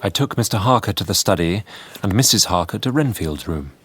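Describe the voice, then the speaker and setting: Grave Victorian asylum doctor, low register, diary narration for radio drama over background noise. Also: male voice, lively intonation, a little fast, a bit bright. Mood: measured, grave.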